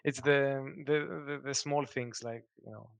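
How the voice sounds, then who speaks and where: A man's voice: mid-range voice, steady tone, measured pace, creaky, dry recording. A man in his thirties, a Greek native speaker, answering interview questions in a hesitant way with many fillers and restarts. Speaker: guest, software developer. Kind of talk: casual interview.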